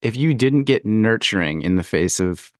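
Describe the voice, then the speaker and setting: A man hosting a casual, reflective conversation, speaking thoughtfully with pauses in the middle of his phrases. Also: lowish voice, expressive, dry recording, clean studio sound. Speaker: podcast host.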